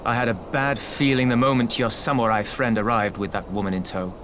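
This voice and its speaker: An actor playing a wary, blunt island fighter in a video game, speaking in a grim, grudging way. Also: male voice, mid-range voice, fairly even intonation, a little fast, noisy background, natural voice. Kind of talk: English video game cutscene acting.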